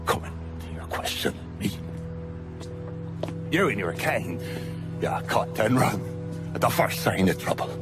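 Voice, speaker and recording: An actor playing a bitter, foul-mouthed older Irish mobster in a video game, crude and swaggering, with an Irish accent. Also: male voice, on the low side. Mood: bitter scorn. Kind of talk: dramatic video game cutscene acting.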